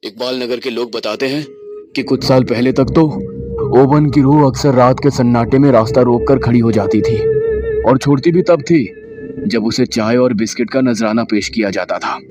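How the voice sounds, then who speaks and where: A man's voice: medium pitch, big pitch swings, moderate pace. Male horror narrator over faint music. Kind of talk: dramatic scripted storytelling.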